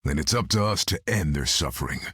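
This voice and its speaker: An actor playing a gruff, wry half-vampire swordsman in a video game, with a gravelly, terse delivery. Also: lively intonation, fairly quick, sharp tone, no echo, studio quality, exaggerated voice. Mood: grim. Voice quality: gravelly, very deep.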